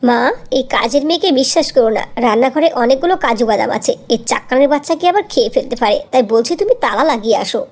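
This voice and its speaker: Spoiled young stepsister adult female actor for a cartoon: high voice, spiteful, whiny tattletale. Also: animated, quick pace, hardly any pauses, a little mellow. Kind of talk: Bengali fairy-tale cartoon dubbing.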